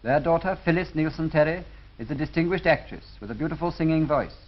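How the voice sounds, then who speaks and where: A man with a British accent narrating a scripted 1930s film in a formal, measured way. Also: a little high. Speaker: documentary narrator. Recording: dull lo-fi 1930s film soundtrack.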